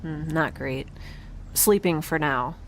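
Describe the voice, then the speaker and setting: A woman playing an excitable, awkward, optimistic young space traveler in a science fiction audio drama, rambling in informal speech. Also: low voice, slow pace, slight background noise. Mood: upbeat, casual.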